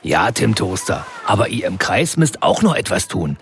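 An actor playing a jokey, patient kindergarten head in a children's radio comedy, explaining things with theatrical flair. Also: male voice, lowish voice, very animated, normal speed, a little hiss or hum.

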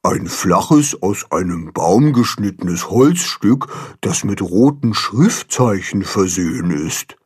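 Man doing a husky, pompous, learned carp. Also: on the low side, expressive, takes it slowly, darkish tone, pitched below the performer's own voice, exaggerated voice. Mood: pondering. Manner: pedantic, wordy, ponderous.

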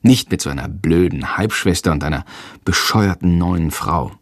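A man's voice: low-pitched, animated, normal speed, no echo, clean studio sound, pitched below the performer's own voice. A man voicing a hurt, stubborn older boy in an audiobook. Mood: sullen. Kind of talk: audiobook character voice.

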